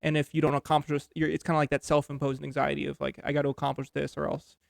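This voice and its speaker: A man's voice: fairly high pitch, level tone, quick pace, creaky, dry recording, clean studio sound. A man chatting on a podcast, rambling with many restarts and fillers. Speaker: podcast host and amateur comedian. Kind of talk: casual conversation.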